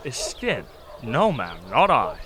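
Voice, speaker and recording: A man's voice: mid-range voice, huge pitch swings, takes it slowly, a crowd in the background. A man playing a timid, deferential medieval cart driver in an audio drama, hesitant and stammering. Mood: nervous, humble.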